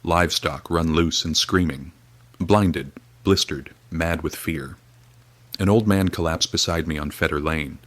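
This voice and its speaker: An actor playing a weary, grim radio reporter, speaking in a solemn, measured, grave way. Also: male voice, rumbling low, fairly bright tone, no echo, heavily acted. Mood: urgent. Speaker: field reporter. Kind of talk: dramatic period radio reporting.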